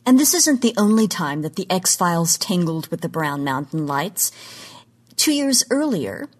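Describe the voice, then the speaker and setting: A woman's voice: fairly low pitch, very animated, unhurried. A woman presenting a scripted podcast segment on genre history in an articulate, emphatic, lecture-like manner.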